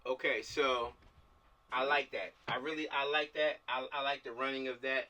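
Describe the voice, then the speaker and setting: A man's voice: mid-range voice, fairly even intonation, moderate pace, sharp tone. A man leading a table read over a video call, chatting casually, repeating himself and checking in often. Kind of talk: casual group discussion. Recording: distant mic on a video call.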